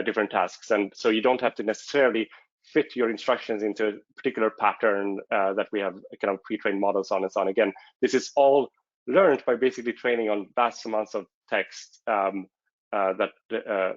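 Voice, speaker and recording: A man's voice: lowish voice, fairly even intonation, a little fast, no echo. Hesitant Swedish-native man, dry dull audio. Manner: hesitant, many fillers and restarts. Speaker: conference presenter. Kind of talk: technical talk.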